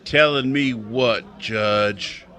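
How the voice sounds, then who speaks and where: Man as vengeful cowhand, very sharp forceful voice, slow, defiant, stubborn, western radio drama. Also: medium pitch. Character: angry, stubborn cowhand bent on revenge. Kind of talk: old-time western radio drama acting.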